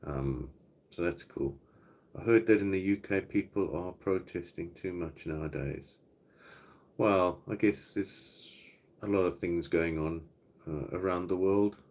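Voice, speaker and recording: Deep-voiced South African man chatting. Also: unhurried. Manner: relaxed and rambling, reads out chat comments. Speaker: livestream host. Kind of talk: casual live Q&A chat. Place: home, indoors.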